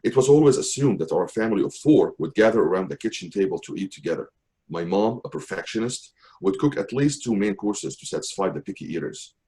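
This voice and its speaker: Low-voiced man. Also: expressive, dark tone, low-quality recording. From Syria. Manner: measured, careful reading with occasional restarts. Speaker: author reading his essay. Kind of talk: reading a personal memoir aloud.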